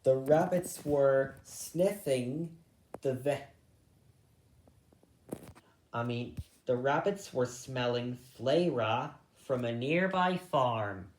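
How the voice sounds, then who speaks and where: Slow-paced male storyteller in an echoey room. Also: mid-range voice, expressive, darkish tone, a little hiss or hum. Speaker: story reader. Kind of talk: children's storybook narration with asides.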